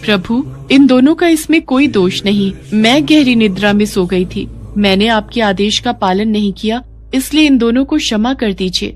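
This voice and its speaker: A woman voicing a gentle, reverent goddess who pleads and questions earnestly in a devotional story. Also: on the high side, normal speed, few pauses, natural voice. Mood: pleading. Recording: faint music bed.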